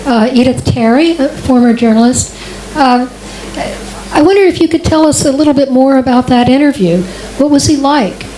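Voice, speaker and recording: A woman with a high but mellow voice asks a polite, slightly hesitant question from the audience. Her voice is weak, and she sounds a little distant from the microphone. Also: normal speed. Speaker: audience questioner, former journalist. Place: club event room.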